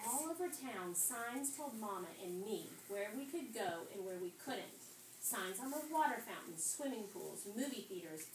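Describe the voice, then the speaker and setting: A woman teacher reading aloud and instructing a fifth-grade class, pausing to pose questions to her students. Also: mid-range voice. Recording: roomy, distant, noisy classroom recording.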